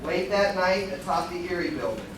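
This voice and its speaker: A man's voice: high-pitched, expressive, normal speed. A man narrating a scripted radio play live at an event in a large room. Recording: echoey hall from far off, noisy.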